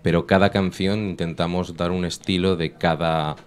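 Deep-voiced Spanish man, relaxed. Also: normal speed, clear, resonant tone. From Spain. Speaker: rap artist guest. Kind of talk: interview answers. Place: radio studio. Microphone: studio microphone.

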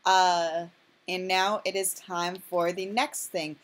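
A woman vlogger narrates her kitchen meal prep in a relaxed, chatty voice-over. Her voice sits on the low side, and she rambles, corrects herself and pokes fun at herself. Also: slow pace. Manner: chatty, self-deprecating, rambling with fillers. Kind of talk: casual cooking voice-over.

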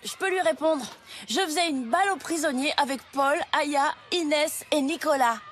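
A woman's voice: high voice, normal speed, fairly bright tone, a little hiss or hum. A woman voicing a friendly, easygoing young schoolboy in a French children's cartoon, casual and obliging. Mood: friendly, calm.

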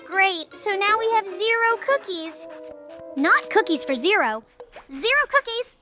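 A woman's voice: very high voice, music in the background. A woman voicing a bossy young number creature in a kids' cartoon, arguing with its sibling about who is in charge. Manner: lively, bossy, quick to argue. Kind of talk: cartoon voice acting.

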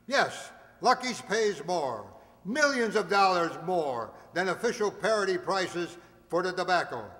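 A man playing an earnest, emphatic cigarette pitchman in an old 1940s radio show, declaiming his sales lines. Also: sing-song, unhurried, a bit bright, exaggerated voice. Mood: earnest selling. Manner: declamatory sales patter with built-up repetition. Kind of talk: 1940s radio advertisement acting. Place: stage in a hall. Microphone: microphone on a stand. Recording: echoing hall sound.

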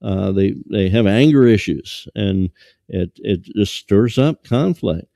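A man in his sixties preaching a sermon from a small church lectern, halting with many fillers and restarts. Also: on the low side, lively intonation, gravelly, dark tone, no echo, clean studio sound. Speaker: pastor.

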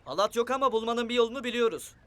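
Super high cartoon voice, slippery helpful stranger type. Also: male voice, very fast, a bit bright. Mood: eager. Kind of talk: animated children's cartoon acting.

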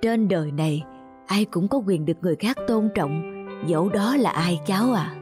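A woman's voice: on the low side, animated, moderate pace, lightly acted. Woman voicing a patient ice cream man. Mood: earnest. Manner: patient, explanatory. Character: kindly middle-aged male ice cream seller, patient, respectful. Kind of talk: cartoon dubbing. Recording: music behind the voice.

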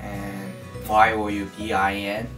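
A teenage student from Korea, speaking in a quick, shy way. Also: sits low, monotone. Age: teens. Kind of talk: interview testimony. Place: school office, seated. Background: guitar music.

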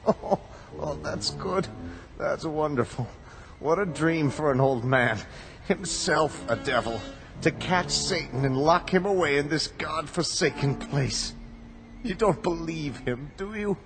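A man's voice: on the high side, expressive, unhurried. An actor playing a sly, persuasive male prisoner said to be the devil, theatrical and sardonic, in a radio drama. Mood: scornful amusement. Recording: music bed behind the voice.

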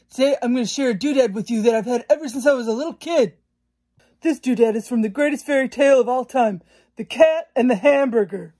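Woman as eccentric hostess, earnest, cheery, slightly high, raspy, parody show. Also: a little mellow, natural voice. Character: quirky trinket-show hostess, earnest storyteller. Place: indoors in a wood-paneled room.

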